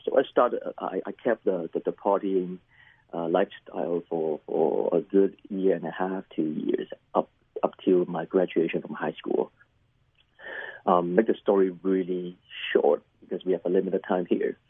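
Halting low-voiced man from Hong Kong. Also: steady tone, slightly rough, dry recording, phone-quality sound. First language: Cantonese. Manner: halting, repeats words, many fillers. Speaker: pastor and chaplain, guest. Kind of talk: personal testimony interview.

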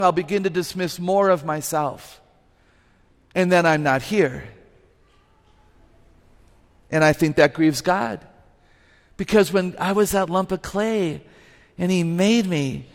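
A woman with an unusually low voice gives a lively, humorous talk to a live audience through a microphone. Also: slow pace, pauses often. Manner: lively, humorous, emphatic, laughs at herself. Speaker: guest speaker and author. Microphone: microphone on a stage. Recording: live talk with long hall reverb.

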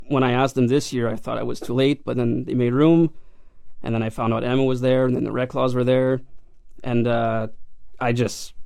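A man's voice: mid-range voice, darkish tone, clean tone, dry recording, studio quality. A young man, a country singer, answering interview questions in a modest, hesitant way with many fillers.

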